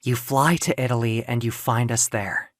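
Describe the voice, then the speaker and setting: A man voicing the gentle ghost of a young woman in an audio comic, hushed and soothing, with music behind. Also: mid-range voice, steady tone, moderate pace, no room sound, clean studio sound, natural voice. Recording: music under the voice.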